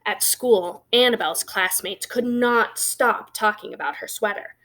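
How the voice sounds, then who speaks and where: A woman reading a children's picture book aloud as an expressive storyteller, with emphatic pauses and conversational asides. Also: mid-range voice, low-quality recording.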